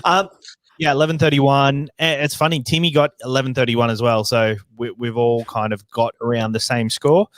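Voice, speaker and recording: A man with a New Zealand accent chats on a sports podcast in a mid-pitched voice. He talks in an easygoing, halting way, stumbling over words and restarting sentences. The recording is dry and close-miked. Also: level tone, no room sound. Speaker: podcast co-host. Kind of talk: casual sports podcast chat.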